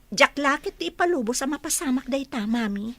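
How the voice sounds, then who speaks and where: Woman acting high, animated scheming Ilocano young woman. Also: a little fast, no room sound, exaggerated voice. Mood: defiant, confiding. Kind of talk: Ilocano radio comedy drama acting.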